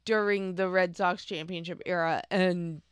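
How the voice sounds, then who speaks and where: A woman's voice: fairly low pitch, steady tone, a little slow, clean tone. A woman in her forties co-hosting a podcast, chatting with dry, wry remarks. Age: 40s. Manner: dry, wry, agreeable interjections. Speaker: podcast co-host. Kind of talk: casual chat.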